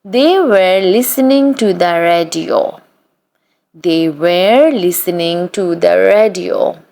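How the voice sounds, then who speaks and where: A woman reading short practice sentences aloud for learners, in an even, deliberate read-aloud style that says each sentence twice. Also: on the low side, gravelly. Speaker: English practice reader.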